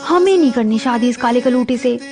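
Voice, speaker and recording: A woman's voice: fairly high pitch, expressive, brisk. A young woman playing a haughty bride-to-be in a drama scene. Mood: disgusted. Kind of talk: acted drama dialogue. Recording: faint music behind. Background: music.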